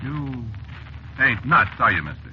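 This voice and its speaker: An actor playing a talkative, uneasy American cab driver with slangy, ungrammatical speech in an old radio drama. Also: male voice, medium pitch, sing-song, unhurried. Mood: puzzled, wary. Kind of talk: old-time radio drama acting, first-person narration and dialogue. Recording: muffled, noisy vintage radio recording.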